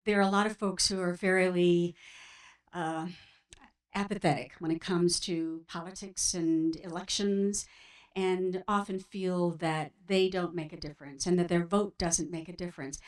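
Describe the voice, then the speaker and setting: An American woman moderating a panel discussion in a measured, encouraging way. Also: medium pitch. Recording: somewhat distant from the mic.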